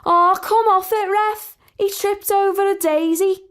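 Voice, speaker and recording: A woman's voice: very high voice, unhurried, pitched above the performer's own voice. Woman doing a brash Liverpudlian student. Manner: loud, blunt, excitable.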